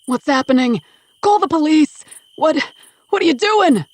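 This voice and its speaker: A woman voicing a panicked older captive father who shouts and pleads, denying everything. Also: very high voice, very slow, dry recording, pitched above the performer's own voice, exaggerated voice. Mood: frantic denial. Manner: desperate, shouting, protesting.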